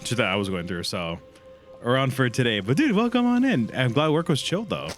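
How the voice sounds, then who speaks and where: A man's voice: mid-range voice, fairly quick, soft background music. A man hosting a livestream, reacting casually to a cartoon with slang and swearing. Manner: slangy, informal. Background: animated show playing.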